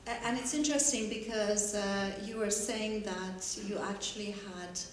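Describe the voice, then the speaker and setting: A woman's voice: mid-range voice, steady tone, moderate pace, slight background noise. Woman moderator, hesitant questions, lots of uh, very echoey hall, distant mic. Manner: hesitant, frequent "uh". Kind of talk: panel moderation.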